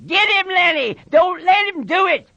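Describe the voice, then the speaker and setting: A man's voice: high voice, expressive, unhurried, a little mellow, no echo, muffled recording. A man voicing an anxious, protective ranch hand in an audiobook, insistent and urgent. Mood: urgent yelling. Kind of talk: dramatised audiobook of a novel.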